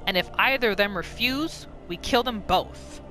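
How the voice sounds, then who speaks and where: A callous bandit acted by a woman tells a grudging tale in folksy, menacing slang. The voice is bright and forward, at medium pitch, with soft music underneath, as in a fantasy game roleplay. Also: moderate pace, natural voice. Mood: menacing, distrustful. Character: rough, callous bandit writing a grudging account of betrayal. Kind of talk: in-character reading of a game journal.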